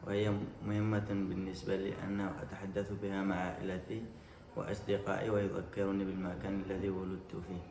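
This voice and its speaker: A teenage boy giving a short school statement in a rushed monotone. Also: deep voice. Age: teens. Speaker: student. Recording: echoey room with clear noise.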